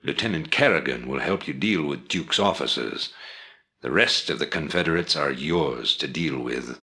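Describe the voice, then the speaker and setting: An actor playing a calculating rebel leader in a video game, giving briefings in a measured, formal, authoritative way. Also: male voice, extremely low pitch, animated, pushed and bright, heavily acted. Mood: grave, commanding. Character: commanding, calculating older male rebel leader.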